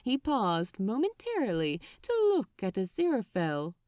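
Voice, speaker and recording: A woman reading fiction aloud as an expressive narrator. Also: mid-range voice, normal speed, dry recording, clean studio sound.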